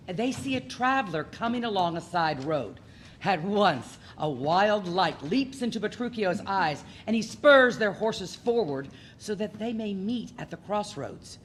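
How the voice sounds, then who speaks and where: Female narrator for audio drama, medium pitch, very animated, scripted storytelling delivery. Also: unhurried. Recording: noticeable background noise.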